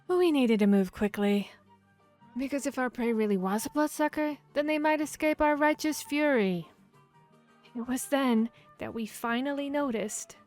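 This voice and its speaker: A woman playing a boastful, playful vampire hunter in a fantasy audio roleplay, speaking in a theatrical, expressive storytelling manner. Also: high voice, normal speed, lightly acted. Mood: dramatic, proud. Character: boastful, playful female vampire hunter telling tavern tales. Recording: music behind the voice. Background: background music, tavern ambience.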